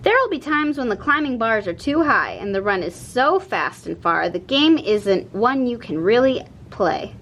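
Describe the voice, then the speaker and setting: A woman narrating a children's picture book in an expressive read-aloud style. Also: normal speed, hardly any pauses. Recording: noticeable background noise with low rumble.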